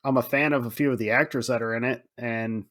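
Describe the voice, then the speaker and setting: A man's voice: mid-range voice, fairly even intonation, fast, a bit bright. A man with a mid-range voice talks as a podcast co-host in loose, casual film chat. He swears freely and quotes his own reactions as he goes.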